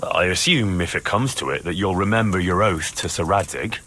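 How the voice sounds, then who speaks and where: A man's voice: sits low, a little fast, sharp tone, slight background noise. An actor playing a blunt, wary young man with a dryly sardonic manner in a video game. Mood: firm, wary. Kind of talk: acted video game cutscene dialogue.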